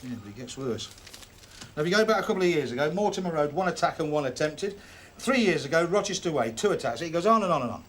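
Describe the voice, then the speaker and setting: A man's voice: a little high, expressive, fast talker, a little hiss or hum, natural voice. A man playing a dogged, impassioned British police detective in a TV drama, arguing bluntly and insistently. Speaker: detective constable. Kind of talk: naturalistic TV police drama acting.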